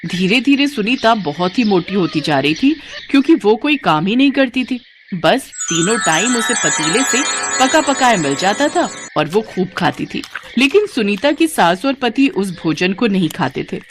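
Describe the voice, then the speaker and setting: A woman's voice: medium pitch, very animated, normal speed, soft background music. A woman narrating a scripted children's story as a storyteller.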